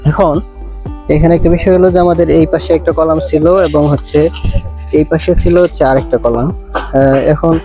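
Flat-toned Bangladeshi man explaining, higher-pitched voice. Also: normal speed, long pauses. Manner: repetitive, hesitant explaining with frequent filler phrases. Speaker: civil engineer presenting a how-to video. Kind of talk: informal on-site instructional explanation. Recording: music running behind the voice.

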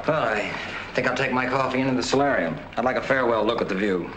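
An actor playing a middle-aged doctor in a 1940s crime film, earnest and measured, sometimes hesitant. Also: male voice, mid-range voice, natural voice. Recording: hissy 1940s film soundtrack.

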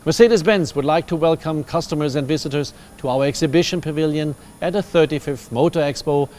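A man in his fifties, a company executive, delivering a measured, formal prepared statement. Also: a little high, darkish tone, slight background noise. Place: studio set with backdrop.

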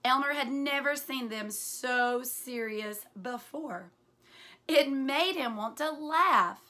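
A woman in her forties reads a picture book aloud as a teacher would, in an animated, deliberate way with hushed asides. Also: sits high. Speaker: teacher reading aloud to children. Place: small furnished room indoors.

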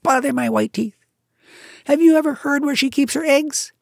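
A woman doing a brisk, scolding little mongoose. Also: high-pitched, vocal fry, no room sound, studio quality, pitched above the performer's own voice. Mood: impatient. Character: brave little male mongoose, sharp and impatient.

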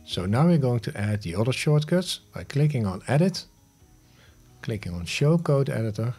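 A man in his fifties narrating a step-by-step software tutorial in short instructional phrases, recorded on a close studio mic. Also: medium pitch, very animated, normal speed, slightly rough, soft background music. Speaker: host of smart home tutorial videos. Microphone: close studio mic on a boom arm.

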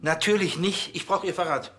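Man acting a high-pitched, blustering police chief. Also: moderate pace, music in the background, lightly acted. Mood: brusque, bossy. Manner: stammering, blustering. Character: flustered, bossy, impatient male police chief. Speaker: actor in TV crime comedy.

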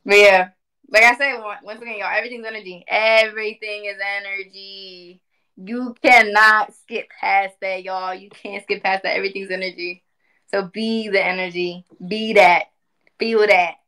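A woman in her twenties speaks casually to an online group in a bright, forceful voice at a middle pitch. She is animated and emphatic, circling back to repeat key phrases as she makes her point. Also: normal speed, smooth, poor audio. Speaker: spiritual community speaker. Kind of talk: casual livestream talk. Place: indoor room at home.

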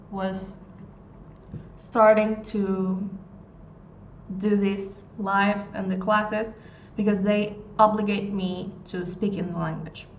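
A woman in her twenties from Argentina, a native Spanish speaker with an Argentine accent, answering a question on a live lesson and searching for words in English. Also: mid-range voice. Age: 20s. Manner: unhurried, searching for words with many ums and restarts. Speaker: Spanish tutor. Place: small room indoors. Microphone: phone at arm's length. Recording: echoey, dull phone audio with faint noise.

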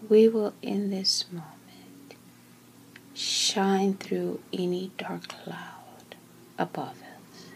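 A woman with a low, breathy voice guides a meditation at an extremely slow pace. She speaks gently and calmly, often close to a whisper, leaving long pauses between short phrases. A faint ambient noise sits behind her. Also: rough recording. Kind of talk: slow guided meditation, soothing scripted narration.

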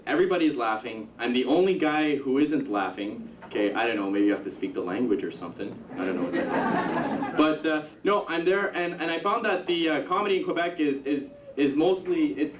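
A young man doing a stand-up comedy set in a club, with a rambling, halting delivery full of restarts. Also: medium pitch, distant mic, slight background noise. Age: young adult. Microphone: handheld stage mic on a stand. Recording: roomy, dull 1991 camcorder tape from the audience. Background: audience laughter.

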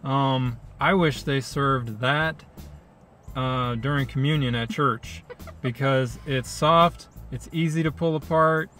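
A man's voice: mid-range voice, takes it slowly, pushed and bright, soft background music. A young man co-hosting a food vlog from a parked car, easygoing with dry little jokes. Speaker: food vlogger co-host. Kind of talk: casual food review chat. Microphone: camera on dashboard.

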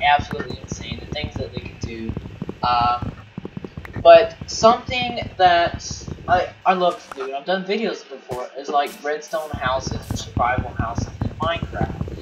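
An excitable man chats through a game as he plays, rambling and tripping over his own words. He sits back from the microphone, so he sounds a little far off. A steady noise hangs behind his voice. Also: fairly high pitch, muffled recording. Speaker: gameplay commentator. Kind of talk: casual gaming commentary. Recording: distant mic with noisy background.

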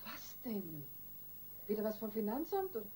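An actress playing a hard, cool-headed, impatient wife in a radio crime drama, brisk and commanding. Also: female voice, medium pitch, very animated, mellow, a little hiss or hum, close to an everyday voice, rough recording. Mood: impatient, reproachful.

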